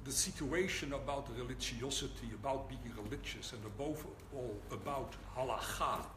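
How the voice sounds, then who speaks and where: Older male lecturer, Dutch accent, dry wit, measured delivery, roomy hall with background noise. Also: mid-range voice. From Netherlands. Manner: wry, deliberate, pauses for laughs. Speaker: rabbi giving a lecture. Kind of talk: public lecture. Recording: echoey hall, audible room noise.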